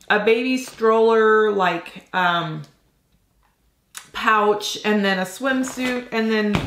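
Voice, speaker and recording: A woman's voice: a little high, fairly bright tone, reverberant, slight background noise. A woman in her forties with a slightly nasal voice from a cold, chatting casually as she unboxes items at a desk in her home office. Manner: chatty, thinking aloud while handling items. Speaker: reseller vlogger.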